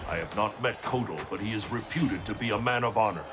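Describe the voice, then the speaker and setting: An actor playing a wise thunder god who speaks in a calm, reasoning way. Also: male voice, lowish voice, fast, over loud music, lightly acted. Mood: resolute. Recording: game cutscene with ambient noise.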